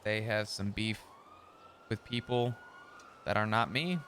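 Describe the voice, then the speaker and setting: Bright-voiced man roleplaying casually. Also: fairly low pitch, slow pace, slight background noise. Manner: casual, swears often, chuckles. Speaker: roleplay streamer. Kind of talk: in-character roleplay chat.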